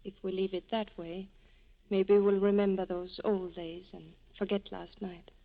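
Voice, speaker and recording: An actress playing a torn, loyal wife, earnest and dramatic, in a 1940s radio drama. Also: female voice, medium pitch, level tone, measured pace, natural voice. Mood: wounded, regretful. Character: torn, sorrowful, loyal wife, proud and romantic. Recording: dull lo-fi vintage radio broadcast.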